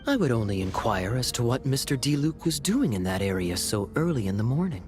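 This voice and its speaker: A man's voice: mid-range voice, sing-song, a little fast, over music, close to an everyday voice. A man playing a suave, sceptical knight in a video game, questioning in a pointed, sardonic way. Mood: wry, probing. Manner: smooth-talking, pointed, sardonic.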